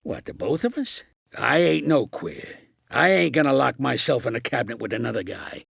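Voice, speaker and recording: Raspy, gravelly older American mobster. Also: male voice, medium pitch, sing-song, normal speed, a bit bright, no room sound, clean studio sound, exaggerated voice. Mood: irritated, alarmed. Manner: blunt, slangy, commanding. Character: gruff, streetwise older mobster mentoring a young fighter. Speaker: voice actor. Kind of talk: video game character acting.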